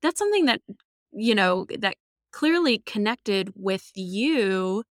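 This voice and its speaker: A woman's voice: medium pitch, expressive, takes it slowly, no echo, clean studio sound. A woman interviewer who asks her guest follow-up questions with curious encouragement.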